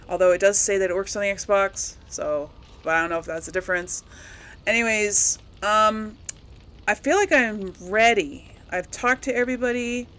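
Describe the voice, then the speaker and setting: Woman doing casual let's play commentary, chatty and kinda rambly, mid pitched voice. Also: fairly bright tone. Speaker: host of a video game let's play channel. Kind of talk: casual gaming commentary to viewers.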